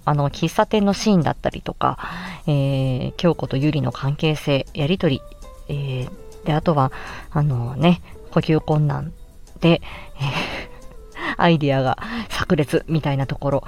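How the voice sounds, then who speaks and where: A woman hosting a casual solo podcast, chatting in an animated way with emphatic exclamations as she looks back on a project. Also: low voice, creaky. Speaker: podcast host and amateur voice actress. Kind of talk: casual solo chat looking back on an audio drama.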